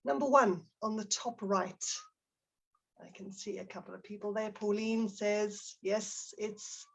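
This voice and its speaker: A woman's voice: medium pitch, steady tone, a little slow, vocal fry, breathy edge. A woman with a South African accent presents a live online teaching webinar, prompting her audience and reading out their chat replies in a friendly way. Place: home office near Barcelona.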